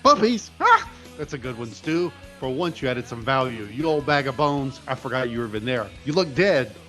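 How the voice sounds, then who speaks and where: Man acting a sneering wrestler, medium pitch. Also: sing-song, normal speed, sharp tone, faint music behind. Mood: mocking. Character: sneering, insulting veteran wrestler man, mocking. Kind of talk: comedic audio play acting.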